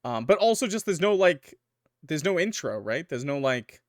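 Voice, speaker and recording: A young man giving casual, rambling let's-play commentary as a gaming YouTuber, chatty and quick to laugh, his voice a little worn. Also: on the high side, very animated, dry recording, clean studio sound. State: losing his voice.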